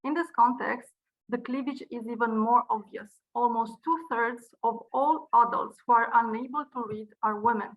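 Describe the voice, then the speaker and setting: Woman, Romanian accent, slightly high pitch; earnest, measured prepared address by video call. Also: phone-quality sound. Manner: earnest, measured delivery with occasional restarts. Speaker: university director giving a speech. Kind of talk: prepared speech.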